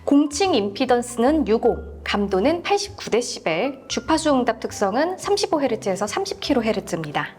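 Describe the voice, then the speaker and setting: A woman's voice: on the high side, sing-song, brisk, few pauses, darkish tone, soft background music. A woman co-host giving a prepared presentation of a product and its specifications.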